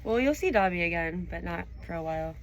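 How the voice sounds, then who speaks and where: A woman's voice: fairly low pitch, animated, fast, slight background noise, poor audio. A woman reading stories aloud expressively and chatting casually about them. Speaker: audiobook reader and show host. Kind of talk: expressive storybook narration, then casual chat with a child listener.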